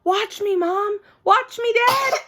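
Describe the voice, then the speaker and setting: A woman voicing a chatty, mischievous little girl in an animated children's storybook reading. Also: very high-pitched, takes it slowly, voice pushed up, exaggerated voice. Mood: excited. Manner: exuberant, childlike, emphatic. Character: excitable, chatty, mischievous little girl narrating her plane trip.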